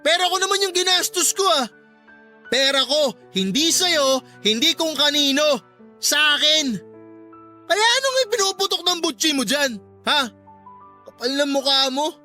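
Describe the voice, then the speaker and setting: An actor with a Filipino accent playing a belligerent, abusive husband and father who sneers and shouts in a radio drama. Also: very high voice, lively intonation, unhurried, long pauses, faint music behind, full character voice. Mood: belligerent, sneering.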